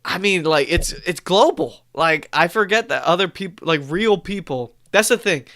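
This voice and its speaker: A man's voice: sits high, expressive, moderate pace, sharp tone. A young man recovering from a cold, chatting casually and rambling on a live stream. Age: young adult. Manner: rambling, self-correcting, upbeat. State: stuffy from a cold, coughing.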